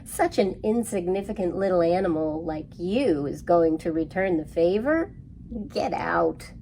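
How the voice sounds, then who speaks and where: Woman playing a haughty, booming storybook lion. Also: expressive, measured pace, dark tone, slight background noise, rough recording. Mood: scornful. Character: haughty, mocking male lion.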